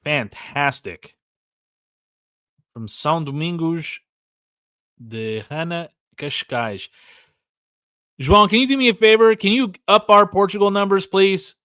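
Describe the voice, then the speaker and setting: A man's voice: high-pitched, measured pace, long pauses, bright, forceful tone, clear, resonant tone, dry recording, clean studio sound. An American man in his forties hosting a live podcast stream, chatting casually, rambling with fillers and restarts while reading out viewer comments. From California, USA. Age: 40s. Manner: rambling, lots of fillers and restarts, reads chat aloud. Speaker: podcast host. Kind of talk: casual livestream chat.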